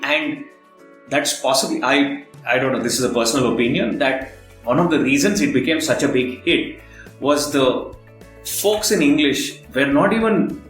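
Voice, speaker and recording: A male podcast interviewer talks in a conversational, halting way, working out his thought as he goes. His voice sits at a medium pitch. It rings in an echoey room with faint music behind it. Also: a bit bright. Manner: halting, thinking aloud, rephrasing at length. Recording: roomy echo, faint background music.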